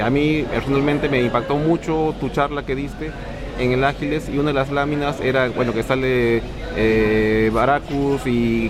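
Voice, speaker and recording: A man hosting an interview, asking long questions in a hesitant way with many fillers. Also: mid-range voice. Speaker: interviewer, host. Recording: crowd chatter behind.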